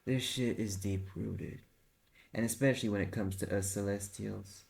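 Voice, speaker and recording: A man playing an ancient, suave male incubus in an audio roleplay, with a Southern US accent and a smooth-talking, teasing manner, speaking intimately to the listener. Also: lowish voice, steady tone, normal speed, a little mellow. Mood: guarded, stern. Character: alluring male incubus, ancient suave flirtatious business dealer. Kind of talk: roleplay audio drama, intimate second-person address.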